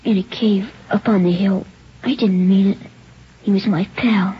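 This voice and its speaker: A performer playing a guilt-stricken nine-year-old boy in an old radio drama, halting, breathy and pleading. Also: mid-range voice, fairly even intonation, natural voice. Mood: guilty, scared. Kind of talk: 1950s radio drama acting. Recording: dull, noisy old radio recording.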